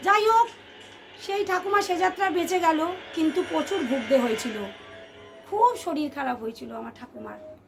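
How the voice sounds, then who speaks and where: A woman tells a ghost story to listeners in an expressive, suspenseful way, repeating phrases for effect. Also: very high-pitched, moderate pace, vocal fry. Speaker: storyteller, channel host. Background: background music.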